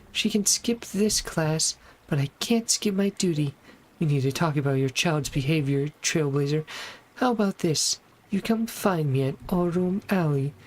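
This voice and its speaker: Actress doing a blunt young sword mentor girl from a game, low voice, all duty, faint music under it. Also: darkish tone, lightly acted, low-quality recording. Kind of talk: video game voice acting.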